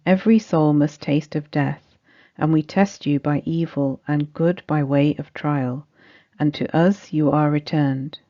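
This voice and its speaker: A woman's voice: sits low, fairly even intonation, unhurried, dark tone. A woman reading a scripted religious study text aloud as narration. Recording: dull, muffled lo-fi recording.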